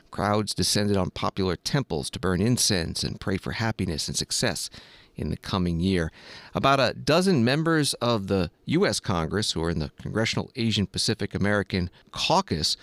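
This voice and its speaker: A man hosting a news program, reading scripted news in a measured, even way. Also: lowish voice, dry recording. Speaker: radio news host.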